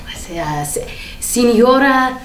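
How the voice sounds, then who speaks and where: A woman playing a defiant, foul-mouthed suspect under questioning in a staged monologue, rambling in a chatty, sarcastic, halting way. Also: on the high side, animated, recorded from a distance. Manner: chatty, sarcastic, halting, breaks into nervous laughs. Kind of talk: dramatic monologue in a staged reading. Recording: echoey room with faint background noise.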